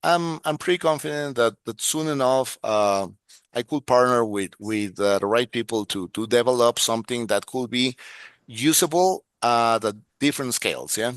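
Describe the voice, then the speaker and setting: Halting Ecuadorian man, smooth dry voice. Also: mid-range voice, a bit bright, no echo. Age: middle-aged. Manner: halting, many restarts and fillers. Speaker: guest, security expert. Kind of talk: casual interview talk.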